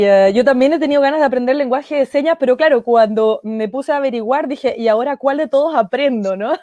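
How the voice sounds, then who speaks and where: A woman hosting an interview on a video call, upbeat and quick to react. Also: on the high side, darkish tone, dry recording. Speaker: host.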